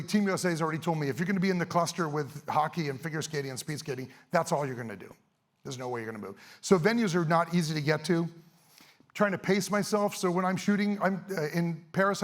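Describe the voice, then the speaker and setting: Hoarse middle-aged guy rushing through a stage talk, lots of ums, kinda high voice. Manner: fast, energetic, casual with many ums. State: a little hoarse. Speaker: event speaker, sports photographer. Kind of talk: live presentation to an audience. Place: event stage.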